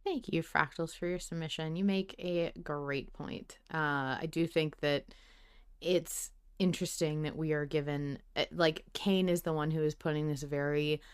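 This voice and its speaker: A woman hosting a casual fan podcast discussion, talking in an earnest, expressive way. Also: deep voice, normal speed, clean tone. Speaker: podcast host.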